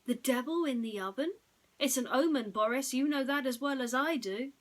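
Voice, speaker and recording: A woman's voice: sits high, moderate pace, voice pushed up. Lady playing a baker's wife in a bedtime story, superstitious, earnest, kinda insistent but understanding.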